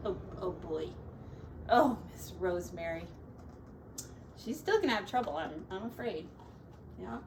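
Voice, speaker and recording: A woman's voice: on the low side, animated, measured pace, long pauses, recorded from a distance, background noise. A woman teacher reading a storybook to children expressively, adding playful asides and drawing out the descriptive words for drama.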